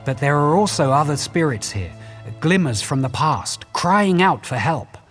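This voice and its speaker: A man's voice: a little high, animated, a little slow, a bit bright, faint music behind. A man playing an eager, scholarly elf investigator in a fantasy video game, earnest and theatrical in his phrasing. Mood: intrigued, grave.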